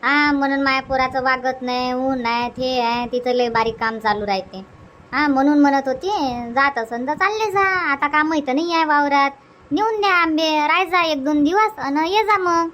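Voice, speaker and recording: A woman as a high-voiced, nagging village mother. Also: moderate pace, a bit bright, slight background noise. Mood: nagging, insistent. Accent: rural Vidarbha Marathi. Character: middle-aged village farm mother, nagging but caring. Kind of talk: amateur Marathi cartoon comedy dubbing.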